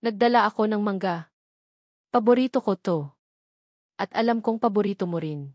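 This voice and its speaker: A woman with a Filipino accent voicing a gentle, forgiving young sister in an audiobook, earnest and tender. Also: mid-range voice, moderate pace, no room sound, studio quality, natural voice. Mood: warm, affectionate. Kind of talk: audiobook character dialogue.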